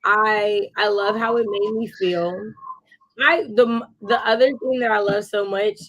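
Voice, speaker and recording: A woman's voice: medium pitch, fairly even intonation, a little slow, a bit bright, rough recording. A woman chatting casually about books as a co-host, effusive and given to repeating phrases for emphasis. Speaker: book discussion co-host. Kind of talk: casual book chat.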